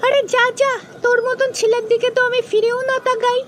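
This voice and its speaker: Woman acting haughty young cartoon woman, extremely high pitch, whiny, comic dub, faint noise. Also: level tone, brisk.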